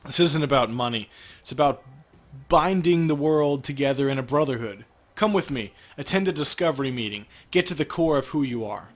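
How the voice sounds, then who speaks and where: A man's voice: mid-range voice, expressive, a little fast, lightly acted. A man voicing an earnest, zealous man who pleads urgently, reading video game dialogue aloud. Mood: earnest pleading.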